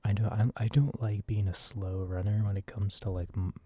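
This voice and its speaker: A man softly rambling in a casual ASMR style, hesitant and informal, with a breathy voice. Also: on the low side, flat delivery, normal speed, no echo. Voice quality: soft, breathy. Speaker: video creator. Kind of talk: soft-spoken ASMR ramble.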